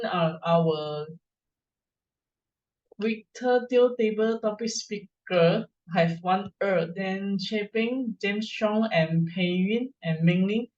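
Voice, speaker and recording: A woman giving a halting, hesitant report on filler words at a club meeting, pausing often. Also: medium pitch. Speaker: meeting filler-word counter. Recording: distant muffled call audio.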